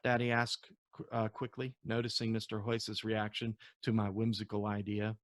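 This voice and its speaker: A man who is an author reading his novel aloud as storybook narration, in an expressive storytelling manner with occasional stumbles. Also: lowish voice, no echo.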